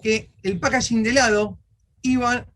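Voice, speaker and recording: High-voiced Argentine male interviewer. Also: steady tone, moderate pace, a bit bright. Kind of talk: conversational interviewing.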